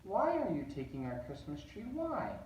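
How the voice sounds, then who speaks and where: A man voicing a tiny innocent toddler girl in a high piping voice. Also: huge pitch swings, moderate pace, darkish tone, dull audio, a little hiss or hum. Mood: pleading. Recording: roomy echo, distant mic.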